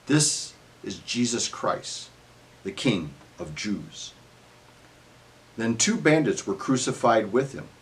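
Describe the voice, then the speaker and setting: A man narrating scripture as a solemn dramatic reading, in measured phrases that trail off at the ends. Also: on the low side, rough recording.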